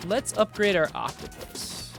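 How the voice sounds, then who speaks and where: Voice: mid-range voice, sing-song, unhurried, creaky. Game dev casually walking thru their game, fixes own words as they go, music playing behind. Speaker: game developer demoing own game. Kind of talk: casual gameplay commentary.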